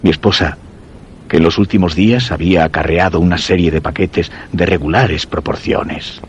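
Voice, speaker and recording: An actor playing a weakened, dread-stricken husband narrating a macabre tale in grave, measured Castilian Spanish for a radio horror drama. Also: male voice, deep voice, fairly even intonation, slight background noise, lightly acted. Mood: fearful dread. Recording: dull radio sound with music underneath.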